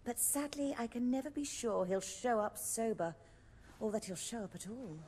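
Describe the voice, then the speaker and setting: A woman playing a refined, self-assured stage actress and director in a video game, speaking in a measured, articulate, theatrical way. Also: fairly high pitch, animated, mellow, in a large hall, slight background noise, natural voice. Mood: businesslike. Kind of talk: video game dialogue acting.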